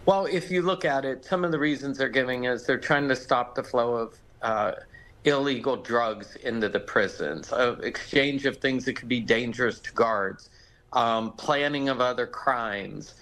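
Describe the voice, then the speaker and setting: A man's voice: mid-range voice, normal speed, fairly bright tone, low-quality recording. A man with an American accent, a lawyer explaining things conversationally as a legal expert in a live interview.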